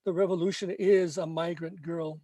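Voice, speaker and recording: A man from Mexico reads his poetry in a slow, emphatic chant that leans on repeated lines. His voice sits high for a man. The sound is dry and close, with no room around it. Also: fairly even intonation, mellow, no echo. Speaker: poet. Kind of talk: poetry reading.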